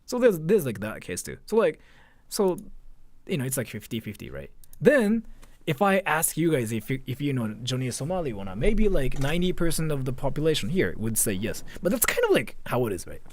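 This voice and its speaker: A man's voice: mid-range voice, very animated, a little fast. A man chatting casually on a livestream, informal and slangy, often putting questions to his viewers. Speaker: live streamer. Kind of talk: casual livestream chat, commenting and answering viewers.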